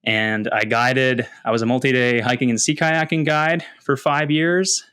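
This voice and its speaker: A Canadian man with a middling-pitched voice talks in a casual, animated way. He tells stories like a relaxed guest, with a self-deprecating streak and plenty of "like" sprinkled through. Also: normal speed, clean tone. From London, Ontario, Canada. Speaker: podcast guest, wildlife photographer and content creator. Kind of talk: casual personal storytelling.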